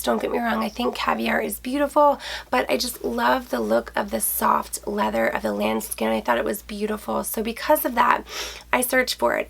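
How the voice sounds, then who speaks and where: Woman, 30s, nasal head-cold voice, vocal fry, chatty vlogger, talking to camera in a small closet. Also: fairly high pitch. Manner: bubbly, chatty, addresses viewers as guys. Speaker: fashion vlogger. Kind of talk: casual handbag review to camera. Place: small indoor closet room with shoe shelves.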